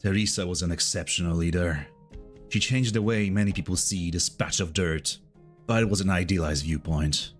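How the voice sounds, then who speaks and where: A man voicing a stern, disillusioned mercenary commander in a dramatic game dub, speaking gravely and deliberately. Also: low voice, faint music behind, lightly acted. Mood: grave, resolute.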